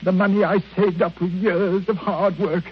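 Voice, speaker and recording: A man's voice: high voice, steady tone, moderate pace, husky. An actor playing an aggrieved, miserly old man who laments in a self-pitying, blustering way in an old-time radio drama. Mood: aggrieved, wailing. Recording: muffled, noisy vintage radio recording.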